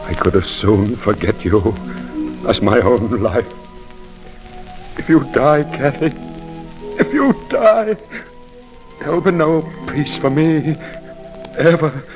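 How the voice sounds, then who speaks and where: An actor in an old radio drama playing a passionate, brooding, domineering lover, with an intense, declamatory delivery. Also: male voice, mid-range voice, animated, takes it slowly, pauses often, over music, rough recording. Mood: tormented, pleading. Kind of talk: 1940s radio drama acting.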